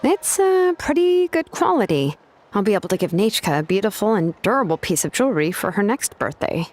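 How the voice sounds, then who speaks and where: A lively young craftswoman from a video game. She is casual, helpful and persuasive, her intonation very animated, with some music behind her. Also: medium pitch, fairly quick. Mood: easygoing.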